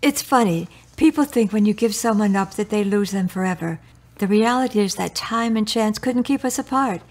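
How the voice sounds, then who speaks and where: An actress playing a gentle, reassuring middle-aged mother in a radio drama, soothing and affirming in manner. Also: medium pitch, moderate pace, natural voice. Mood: warm, assured.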